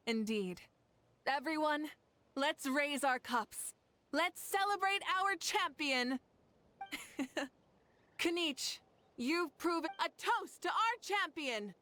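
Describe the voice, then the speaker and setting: A woman voicing a confident, gracious goddess leader who speaks calmly and laughs often, in video game dialogue. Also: high-pitched, heavily acted. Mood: celebratory. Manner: calm, measured, laughs often. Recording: game audio with soft music underneath.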